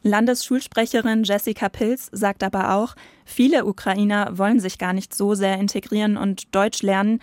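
A woman narrates a radio news feature in an even, scripted voice-over. The sound is dry and close, with no room around her. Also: mid-range voice, normal speed, hardly any pauses, no room sound. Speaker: radio reporter.

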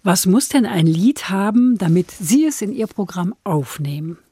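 Mellow-voiced female radio interviewer. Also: medium pitch, lively intonation, normal speed, no echo, studio quality. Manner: clear, structured questions. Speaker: radio host.

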